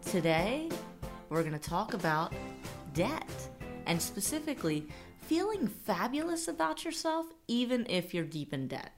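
A woman's voice: mid-range voice, very animated, unhurried, music in the background. A young adult woman co-hosting a casual podcast, chatty and upbeat, laughing easily between points. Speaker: podcast co-host.

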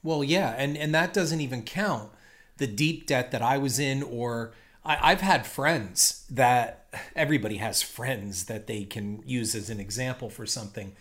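Man, podcast co-host, measured, explains at length, mid-range voice. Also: mid-range voice, fairly bright tone. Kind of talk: casual podcast chat.

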